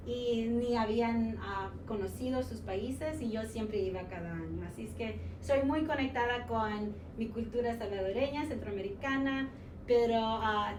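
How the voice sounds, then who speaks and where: A woman in her forties from New York, a teacher telling her life story in a casual interview, pausing often with fillers and mixing in English words. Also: a little high. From United States, New York. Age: 40s. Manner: halting, many fillers, slips English words into Spanish. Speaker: social studies teacher, interview guest. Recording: roomy sound from a distant mic with noise.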